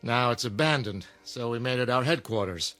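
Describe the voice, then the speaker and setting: A man playing a dry, earnest jester who leads an outlaw club, speaking in a measured, courteous way in an audio drama. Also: mid-range voice, animated, a bit bright, faint music behind, lightly acted.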